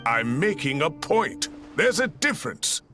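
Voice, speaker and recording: Theatrical older professor, swooping pitch. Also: male voice, very animated, a little slow, music in the background. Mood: mock stern. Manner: theatrical, pointedly courteous. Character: kindly, fussy older male professor who grows tomatoes. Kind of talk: video game story dialogue.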